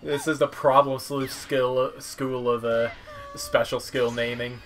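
A man's voice: mid-range voice, little pitch movement, slow pace, fairly bright tone. A young man giving casual, wry, rambling commentary on a video game. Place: indoor room at home. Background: game music.

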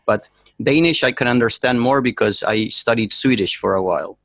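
A young man hosting a casual podcast chat from a small room at home, joking and animated as he talks. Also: medium pitch, a little fast, dry recording. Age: young adult. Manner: joking, animated, laughs often. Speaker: podcast host.

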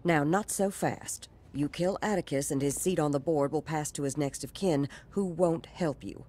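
Low, mellow, shrewd executive. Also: female voice. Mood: sly, persuasive. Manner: measured, folksy turns of phrase. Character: cool, shrewd woman corporate executive, folksy and threatening. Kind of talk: video game cutscene acting.

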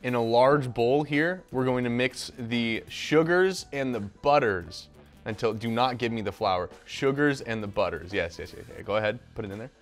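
A man's voice: mid-range voice, normal speed, soft background music. A young man hosting a casual home cooking show in a kitchen, chatting upbeat while talking to a silent mascot beside him, on a lapel mic. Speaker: host.

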